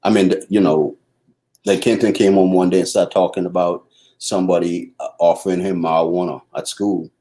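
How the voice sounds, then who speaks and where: Deep-voiced Bahamian man, halting speech. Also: fairly even intonation, warm tone. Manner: halting, many restarts and fillers. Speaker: husband co-host. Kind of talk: casual conversation.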